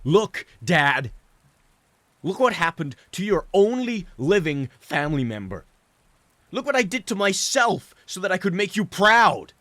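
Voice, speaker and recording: A man reading a story, voicing a tormented young man who stammers and shouts at his father through tears. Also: high voice, sing-song, unhurried, dry recording, voice pushed up, full character voice. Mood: anguished shouting. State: crying. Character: tormented young man, broken, furious, sobbing son.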